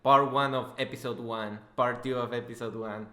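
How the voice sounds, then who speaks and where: Bright-voiced man hosting, in an echoey room. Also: mid-range voice, fairly even intonation, normal speed. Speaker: podcast host, interviewer. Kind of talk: casual chat.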